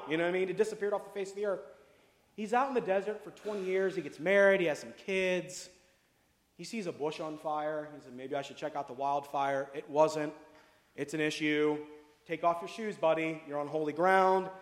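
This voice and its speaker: Joking pastor in an echoey church hall. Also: male voice, fairly high pitch. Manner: jokey, chatty, full of "like" and "you know", asks the congregation questions. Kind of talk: casual conversational sermon. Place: church sanctuary. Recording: echoey hall with long reverb.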